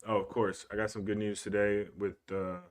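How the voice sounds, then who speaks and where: A man's voice: on the low side, little pitch movement, a little fast. A young man co-hosting a podcast in casual chat, talking in a laid-back, rambling way and swearing often. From Cuban heritage. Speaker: podcast co-host.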